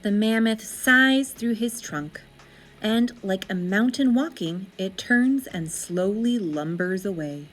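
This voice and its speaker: A woman reading a children's story aloud as an expressive narrator, with deliberate, dramatic phrasing. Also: medium pitch, soft background music, rough recording.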